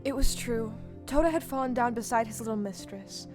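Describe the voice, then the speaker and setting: A woman narrating an audio play with scripted, expressive storybook delivery. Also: fairly high pitch, brisk. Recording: live stage recording with music.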